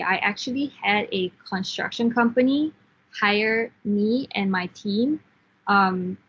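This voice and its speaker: A woman in her thirties from Singapore, a software team lead giving frank, encouraging career advice in an online class, with fillers and restarts. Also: medium pitch, muffled recording, slight background noise. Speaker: guest speaker, software engineer team lead.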